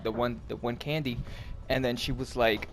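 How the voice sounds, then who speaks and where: A man's voice: medium pitch, fairly even intonation, moderate pace, slight background noise. A man with an American accent casually telling a story to friends, slangy and profane, acting out the dialogue as he goes. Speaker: gaming channel host telling a story.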